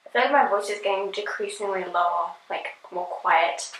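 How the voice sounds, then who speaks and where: A woman hosting a casual reaction video, chatting and giggling, often filling pauses with "like". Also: mid-range voice, a bit bright, echoey room, rough recording. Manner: chatty, giggly, filler-heavy.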